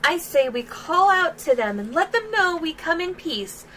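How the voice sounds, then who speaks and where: Lady doing a super high chirpy young assistant gal for a game, eager n naive, swoops around, faint noise. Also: big pitch swings, moderate pace, fairly bright tone, heavily acted. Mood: cheerful. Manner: bubbly, earnest, exclamatory.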